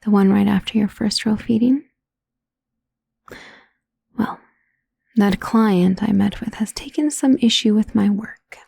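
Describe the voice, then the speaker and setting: A woman playing a powerful, aristocratic vampire who is doting and protective, speaking softly and formally in an intimate ASMR roleplay. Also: mid-range voice, measured pace, creaky, long pauses, breathy edge, exaggerated voice. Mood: composed, frustrated. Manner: formal, refined, genteel wording. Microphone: close, dry studio microphone.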